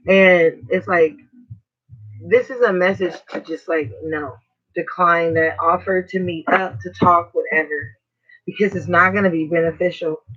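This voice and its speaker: Man, high voice, hoarse and raspy, slangy chatty tarot reader, dull lo-fi sound. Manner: slangy, chatty, swears casually. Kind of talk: casual tarot reading. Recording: dull lo-fi recording.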